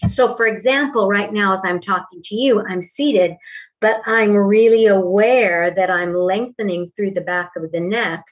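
A woman's voice: medium pitch, measured pace, recorded from a distance. A woman in her sixties, a voice and acting teacher, speaking thoughtfully and articulately in a casual interview. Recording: dull lo-fi audio, slightly off-mic.

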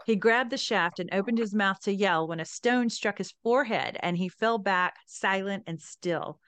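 A woman's voice: medium pitch, moderate pace, a bit bright, smooth, no echo. A woman hosting a podcast, retelling and reading aloud from a book with a chatty, enthusiastic manner. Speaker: podcast host.

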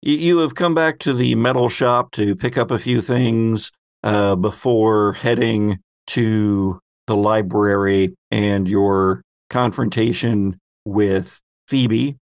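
A man hosts and narrates a tabletop role-playing game. He takes his time, storyteller fashion, pausing for drama. His voice has some fry in it and is recorded close and dry. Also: fairly low pitch, steady tone, no echo. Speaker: host and game master.

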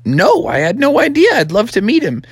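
Woman as an anxious little boy frog, high-pitched. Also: huge pitch swings, fairly quick, breathy edge, no room sound, heavily acted. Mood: innocent, eager. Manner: pleading, worried, wide-eyed.

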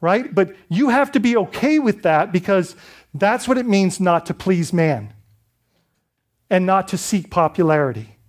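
High-voiced preaching man from the Bahamas. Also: expressive, measured pace. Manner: conversational preaching with frequent restarts and rhetorical "right?". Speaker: pastor. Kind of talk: sermon.

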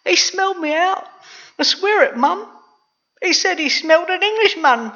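A storyteller voicing a boastful, excitable young boy with eager, exclaiming delivery in a comic verse story. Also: extremely high pitch, moderate pace, lo-fi sound, pitched above the performer's own voice, exaggerated voice. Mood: frightened gasping. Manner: breathless exclamations, eager protesting.

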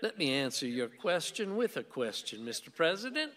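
A man's voice: a little high, big pitch swings, normal speed, bright, forceful tone. An actor playing a stubborn, sardonic older conservative man in a radio play, speaking formally and drily. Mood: dry, wary. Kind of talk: theatrical radio play dialogue. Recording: long reverberant hall sound.

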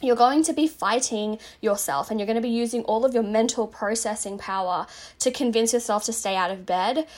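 Chatty woman, slightly higher voice. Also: a little fast, a little mellow. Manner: chatty, rambling, many filler "like"s. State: slightly losing her voice. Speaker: podcast host. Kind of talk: casual self-help talk.

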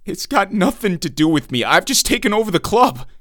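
A man's voice: sits high, big pitch swings, a little fast, a bit bright, no echo, studio quality, pitched above the performer's own voice. A man reading a story, voicing a frightened young man who stammers and pleads. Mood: terrified. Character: terrified young nightclub owner, stammering.

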